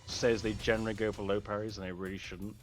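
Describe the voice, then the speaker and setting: A man's voice: lowish voice, flat delivery, quick pace. A man commentating as a guest over voice chat, chatty and a little self-conscious, breaking down the play. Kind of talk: casual esports commentary. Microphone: online voice chat. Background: game music.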